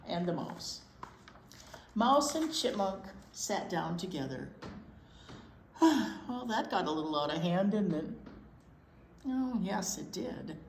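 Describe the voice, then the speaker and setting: Animated, unhurried woman reading a storybook aloud. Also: mid-range voice, creaky. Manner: playful, exclaiming, commenting on the pictures. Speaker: children's librarian, storytime reader. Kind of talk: animated picture-book read-aloud with chatty asides. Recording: roomy echo with some background noise.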